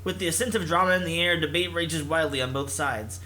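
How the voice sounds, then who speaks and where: Bright, high-voiced man hosting a game playthrough. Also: brisk, a little hiss or hum. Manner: theatrical, jokey, puts on character voices. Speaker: host of a visual novel playthrough. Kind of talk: reading game text aloud with casual commentary.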